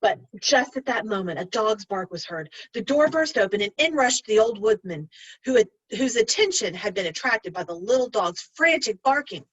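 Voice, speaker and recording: A woman's voice: medium pitch, expressive, normal speed, no room sound, muffled recording. A woman reading a children's story aloud expressively, like a teacher addressing pupils.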